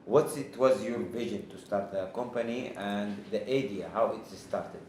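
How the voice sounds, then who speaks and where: A man's voice: fairly low pitch, moderate pace, a little mellow. A man hosting an interview, introducing his guest formally and asking questions. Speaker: interview host. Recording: roomy echo.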